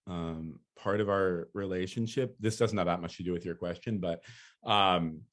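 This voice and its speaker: A man with a very low voice speaks easily and unhurriedly. He pads his answers with "you know" and the odd laugh, and he sounds like he is thinking out loud. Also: steady tone, normal speed. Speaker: featured speaker, poet. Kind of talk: casual talk and Q&A.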